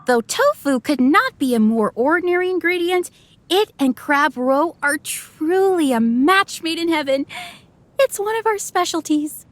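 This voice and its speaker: High, animated young waitress. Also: female voice, unhurried. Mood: proud, inviting. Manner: polite, presenting. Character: polite, eager young waitress presenting restaurant specialties. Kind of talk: video game voice acting.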